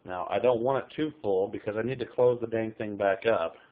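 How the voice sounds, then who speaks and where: Middle-aged guy, folksy cooking chat, kinda low voice, sounds like a tinny phone mic. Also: a little fast. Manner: folksy, chatty instructions, talks to the viewer. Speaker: home cook filming a recipe. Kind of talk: casual cooking tutorial. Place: small kitchen while traveling. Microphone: handheld camera filming overhead.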